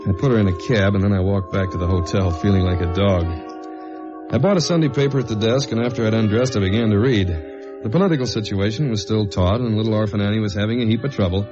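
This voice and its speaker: An actor as a low-voiced hard-boiled male investigator, vintage radio. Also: fast talker, natural voice. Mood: hard-boiled, weary. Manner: clipped, slangy, hard-boiled. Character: tough, wry male government investigator in a detective radio drama. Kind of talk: 1950s radio acting with first-person narration. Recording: dull vintage radio recording with music.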